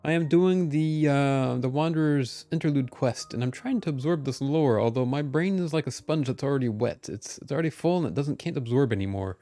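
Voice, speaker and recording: A man's voice: mid-range voice, a little fast, few pauses, mellow, dry recording. A man live streaming a video game, chatting casually with his viewers in an upbeat, friendly way.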